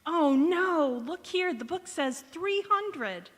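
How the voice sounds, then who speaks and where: A woman's voice: very high-pitched, lively intonation, slow pace, exaggerated voice. A woman playing an eager, chummy housewife neighbour in a vintage radio comedy on stage, with exclamatory delivery. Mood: concerned, correcting. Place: large indoor hall, live stage performance. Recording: reverberant church hall stage recording.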